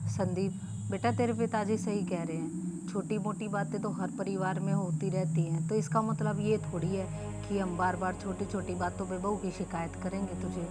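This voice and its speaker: A woman playing an elderly, soft-hearted mother-in-law who pleads in a motherly way. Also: mid-range voice, steady tone, normal speed, close to an everyday voice. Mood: soothing. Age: older. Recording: background music under the voice.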